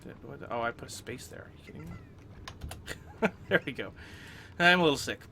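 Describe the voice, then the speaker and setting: A young man hosting a casual gameplay commentary, chatty and upbeat, getting over a cold and clearing his throat often. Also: mid-range voice, expressive, a little fast, background noise. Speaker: let's play host.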